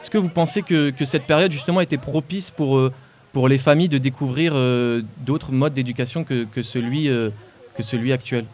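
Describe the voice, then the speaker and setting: A man co-hosting a radio interview, asking long questions with halting repeats. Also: medium pitch, a little mellow. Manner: halting, repeats words while forming questions. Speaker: radio co-host.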